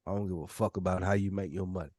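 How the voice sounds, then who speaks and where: A man with a fairly low voice talks on a casual podcast panel. He sounds blunt and matter-of-fact and swears freely. The recording is very dry and close. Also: steady tone, racing, darkish tone, no echo. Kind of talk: casual chat.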